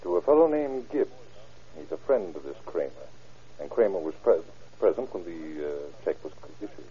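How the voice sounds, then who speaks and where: A matter-of-fact official in a vintage radio crime drama. The voice is low and very dark, plainly explanatory. The recording is dull and aged. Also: male voice, lively intonation, normal speed. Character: matter-of-fact man reporting a forged-check case. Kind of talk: old-time radio drama acting.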